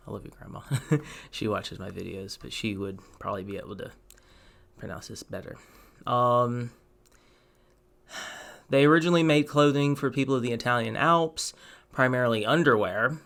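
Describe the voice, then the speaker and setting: Chatty young man with a gravelly voice. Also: on the low side, fairly bright tone. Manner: chatty, rambling, many ums and asides. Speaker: sneaker vlogger. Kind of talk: casual product review to camera.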